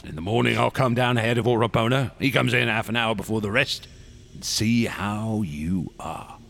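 An actor in a radio drama playing a paranoid, menacing wax sculptor, with a gravelly voice and a sneering, theatrical, taunting delivery. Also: male voice, lowish voice, moderate pace, fairly bright tone. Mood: sly, menacing.

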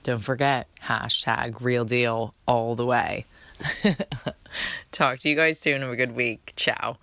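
A woman's voice: a bass voice, steady tone, slow pace, creaky, pushed and bright. A woman hosting her own podcast in a chatty, unfiltered way, with a soothing voice and casual swearing. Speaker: podcast host. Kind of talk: casual solo podcast chat.

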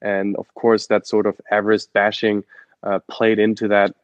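A man's voice: on the low side, monotone, moderate pace, no echo, dull audio. A young man from Germany with a German accent, telling his story as an interview guest with many fillers and restarts. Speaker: guest, adventure photographer and expedition guide.

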